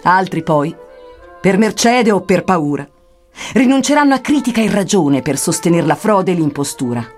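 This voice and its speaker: A woman's voice: lowish voice, very animated, normal speed, a little mellow. A stern poet guide through the underworld, acted by a woman, speaks. The archaic verse is declaimed with scornful emphasis in radio-drama style, over music. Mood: scornful, denouncing.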